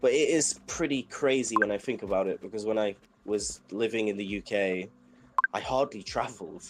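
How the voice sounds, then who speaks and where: British dude in his 30s, lowish voice, rambly relaxed storytelling, noisy online call. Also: moderate pace. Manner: relaxed storytelling with frequent fillers. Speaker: guest DJ. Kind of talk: casual interview chat.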